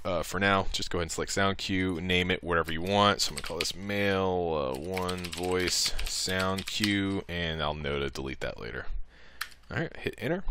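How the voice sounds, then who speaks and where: A man giving a casual, improvised software tutorial, talking through each click as he goes. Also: sits low, clear, resonant tone, no echo. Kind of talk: casual step-by-step screen tutorial.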